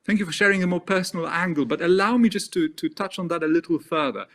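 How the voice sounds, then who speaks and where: A man moderates a panel, thanking speakers politely before pressing them with probing follow-up questions. His voice sits slightly high for a man. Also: fast, a bit bright.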